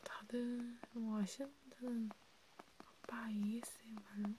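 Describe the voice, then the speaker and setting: A woman whispering softly in a casual, hesitant, gently caring chat for an ASMR roleplay. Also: fairly high pitch, flat delivery, measured pace, slight background noise. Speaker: ASMR video creator.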